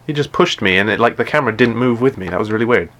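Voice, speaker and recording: A man with a deep voice chatting casually while playing a game live, often stumbling and repeating words. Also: rapid-fire, a bit bright. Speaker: gaming live streamer.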